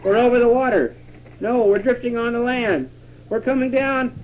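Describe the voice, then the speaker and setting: A man playing an excitable astronaut commander in an improvised pretend radio play, exclaiming and giving urgent orders. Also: very high voice, moderate pace. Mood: excited. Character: excitable male astronaut commander leading a moon trip. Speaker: father playing with his children. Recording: muffled, very noisy 1960s home tape.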